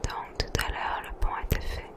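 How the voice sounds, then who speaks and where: A woman softly whispering ASMR-style commentary over a video game, unhurried. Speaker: ASMR gaming YouTuber.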